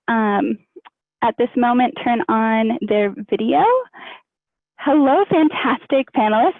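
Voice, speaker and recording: Woman, panel moderator, higher pitch, careful halting phrasing, tinny phone-like webinar audio. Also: no room sound. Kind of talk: introducing an online panel discussion. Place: online video webinar. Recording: thin phone-like online call audio.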